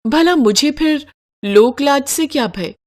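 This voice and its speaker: A woman's voice: high-pitched, animated, slow pace, voice pushed up. A woman voicing a serene, devout young princess in a devotional story, speaking formally and earnestly.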